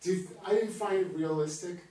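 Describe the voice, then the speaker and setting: A woman's voice: on the low side, normal speed, mellow. A woman offering comments in an informal group critique, picked up in a room. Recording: echoey room, distant, noisy background.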